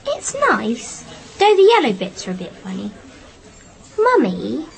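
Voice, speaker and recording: A woman's voice: a little high, huge pitch swings, measured pace, creaky, heavily acted. A young female performer in a radio drama playing an earnest, frank little English schoolgirl. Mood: frank, chatty.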